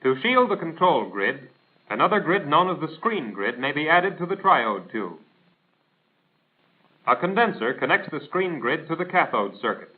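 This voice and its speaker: Thin tinny vintage film soundtrack, American man, lively intonation, formal instructional narrator, precise, didactic. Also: a little high. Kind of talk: scripted instructional narration, 1940s military film. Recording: tinny band-limited 1940s film soundtrack.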